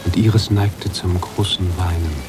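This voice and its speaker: An actor playing an anguished, philosophical writer-narrator in a literary radio drama, halting and searching, slipping at times toward a whisper. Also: male voice, low-pitched, fairly even intonation, music in the background. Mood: brooding, questioning. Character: brooding male writer-narrator, anguished, philosophical, mourning his heroine.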